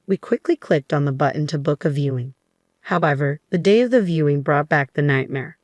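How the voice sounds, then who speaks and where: A woman's voice: sits low, a little fast, a little mellow, no room sound. A woman narrating a scripted first-person story as the storyteller.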